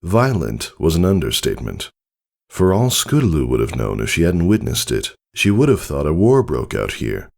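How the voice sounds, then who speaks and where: A man reading a story as a dramatic narrator, in deliberate phrases with weighted pauses. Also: a bass voice, very animated, gravelly, a little mellow. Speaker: fan fiction reader, narrator.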